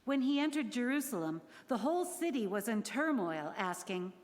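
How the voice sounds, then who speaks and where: A woman's voice: on the high side, very animated, moderate pace. A woman reading scripted scripture narration for a dramatic church reading, in an echoey hall. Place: large church during a service.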